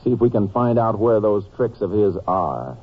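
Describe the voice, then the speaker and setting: A man acting a steady, shrewd Texas Ranger with an American accent in an old-time radio drama, in clipped, folksy Western talk. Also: on the low side, normal speed, breathy edge, soft background music. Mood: decisive. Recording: noisy, narrow-band old radio recording.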